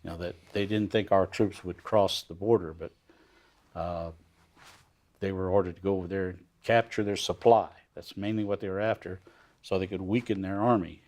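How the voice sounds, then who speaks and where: A man in his sixties hosting a TV studio interview, asking leading questions in a halting way with many pauses. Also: low-pitched, fairly even intonation, a little mellow. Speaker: host.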